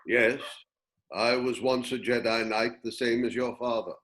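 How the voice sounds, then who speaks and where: A man acts out a wise old hermit of the desert in a sci-fi script reading. He sounds gentle and reflective, at a middling pitch. He measures his words like a patient mentor. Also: fairly even intonation, unhurried, natural voice, low-quality recording. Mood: reminiscing. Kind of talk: script table read acting.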